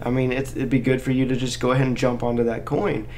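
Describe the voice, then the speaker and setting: A man's voice: medium pitch, little pitch movement, fast talker, a little mellow, slight background noise. A man hosting a casual live chat, talking in an informal, encouraging and slangy way as he helps listeners out. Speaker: live Q&A host, crypto mining helper.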